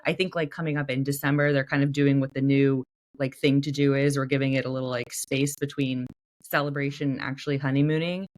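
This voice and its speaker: A young woman co-hosting a casual podcast, chatty and animated, her voice a little hoarse. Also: very deep voice, fairly quick. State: slightly hoarse. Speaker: podcast co-host, editor. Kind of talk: casual chat.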